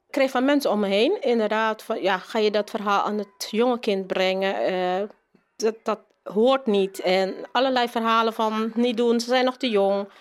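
A woman with a mid-pitched voice talks as an interviewed teacher. She speaks off the cuff, often restarting and repeating words as she goes. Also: moderate pace. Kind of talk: spontaneous interview answers.

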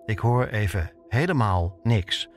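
A man's voice: on the low side, lively intonation, a little slow, faint music behind. A man in his fifties narrating a personal podcast from a script, as its host and reporter. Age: 50s.

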